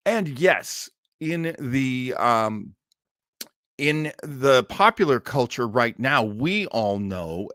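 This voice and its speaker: A man's voice: mid-range voice, fairly bright tone, no echo, clean studio sound. A man hosting a live stream in a casual, enthusiastic way, rambling, hesitant and easily sidetracked.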